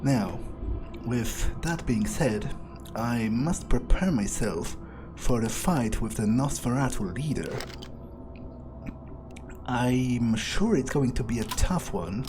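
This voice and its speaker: Slow, arrogant vampire played by a man. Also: mid-range voice, expressive, background noise. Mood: calculating, pondering. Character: arrogant power-hungry male vampire musing on his ambitions. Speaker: gameplay video creator role-playing in character. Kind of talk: improvised in-character monologue. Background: music.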